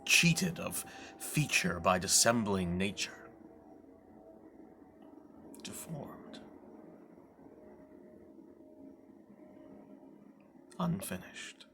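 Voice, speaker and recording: A man performing a bitter, scheming, deformed villain duke in a dramatic, deliberate Shakespearean soliloquy. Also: fairly low pitch, exaggerated voice. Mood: brooding, bitter, then hushed, wounded. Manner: dramatic, deliberate, swinging from declaiming to hushed whisper.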